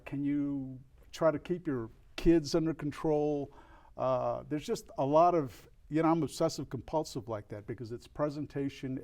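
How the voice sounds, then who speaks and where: An older man from El Paso, a record store owner, speaking as an interview guest in a reflective, earnest way, with frequent restarts and pauses. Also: mid-range voice, a little mellow. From El Paso, Texas. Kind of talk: candid interview conversation.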